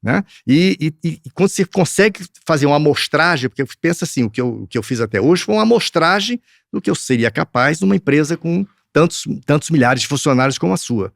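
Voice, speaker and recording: A man's voice: on the high side, expressive, quick pace, vocal fry, hardly any pauses, no room sound, studio quality. A man with a Brazilian Portuguese accent, a film producer answering interview questions earnestly, with frequent restarts and fillers. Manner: hesitant, restarts a lot, earnest.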